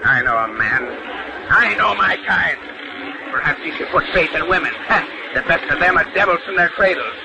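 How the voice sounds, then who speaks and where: A man's voice: fairly high pitch, lively intonation, moderate pace, creaky. A man playing an arrogant, blasphemous sea captain in an old radio drama, commanding and theatrical in archaic diction. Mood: mocking, scornful. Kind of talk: 1930s radio acting. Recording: noisy old radio recording.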